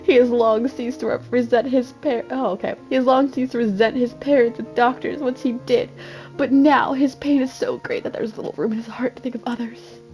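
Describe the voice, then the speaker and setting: A woman's voice: fairly high pitch, fairly even intonation, a little fast, darkish tone, soft background music. A woman reading a game's story aloud in a dramatic style, often breaking off into casual, self-deprecating comments.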